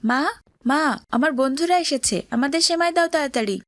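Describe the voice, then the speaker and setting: A woman as a polite, high-voiced young boy. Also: a little fast, fairly bright tone, no echo. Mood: lively, surprised. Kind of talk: cartoon drama voice acting.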